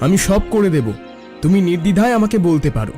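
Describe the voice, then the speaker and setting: A man's voice: sits high, lively intonation, quick pace, dark tone. A man voicing a caring, eager young village lad in a cartoon, earnest and helpful. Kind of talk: Bengali cartoon dialogue acting. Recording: background music under voice.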